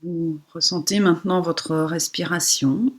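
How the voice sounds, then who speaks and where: A woman yoga instructor guiding a relaxation meditation in a soft, breathy voice, giving soothing, unhurried instructions with pauses. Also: fairly low pitch, steady tone.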